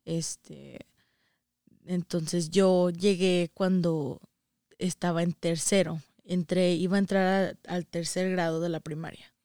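A young woman with a northern Mexican accent talks casually as a guest. Her voice is smooth and slightly low, her delivery slow, and the sound is dry. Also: fairly even intonation, mellow, no echo. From Saltillo, Mexico, and the United States. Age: young adult. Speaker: interview guest. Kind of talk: casual conversation telling own experience.

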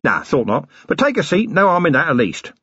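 A man voicing a rough, ruthless older businessman who talks with blunt, matey working-class bluster in an audiobook. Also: a little high, sing-song, a little fast, bright, forceful tone, dry recording, muffled recording, voice pushed up, full character voice. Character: rough, ruthless older male scrap-and-muck tycoon. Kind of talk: audiobook character voice.

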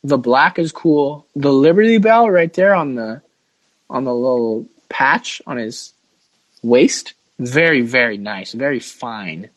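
A man's voice: mid-range voice, animated, slow. A man hosting a casual sports podcast, chatting and steering the discussion. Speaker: podcast host.

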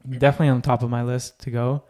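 A young man talks in an easy, unhurried podcast chat. His voice sits at an ordinary middle pitch. He gets excited and doubles up his words to stress a point. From Israel. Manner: enthusiastic, repeats words for emphasis. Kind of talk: casual chat.

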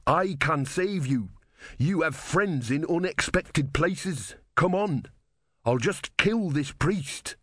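A man's voice: a little high, animated, takes it slowly, fairly bright tone, dry recording, studio quality, voice pushed up, heavily acted. Man as a fervent, hot-headed rebel soldier. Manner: urgent, zealous.

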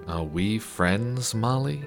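Deep-voiced, wry noir investigator. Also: male voice, lively intonation, slow pace, over music. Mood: dry, wry. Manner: terse, dry, wisecracking, casual slangy phrasing. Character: laconic, wry male WWI veteran turned noir investigator. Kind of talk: 1930s hardboiled noir audio drama acting.

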